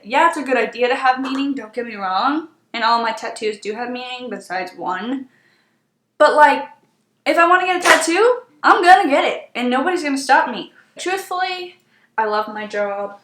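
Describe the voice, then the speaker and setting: A woman's voice: on the high side, fairly bright tone. A woman in her twenties telling stories in a casual, chatty and exasperated way as a beauty vlogger doing her makeup. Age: 20s. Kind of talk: casual story time while doing makeup. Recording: echoey room.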